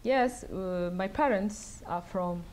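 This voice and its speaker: A middle-aged woman from South Sudan answering audience questions at a lectern, speaking thoughtfully with many pauses and fillers. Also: mid-range voice, a little mellow, a little hiss or hum. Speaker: guest speaker. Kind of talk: lecture Q&A answers. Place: wood-paneled event room, at a lectern. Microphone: lectern mic.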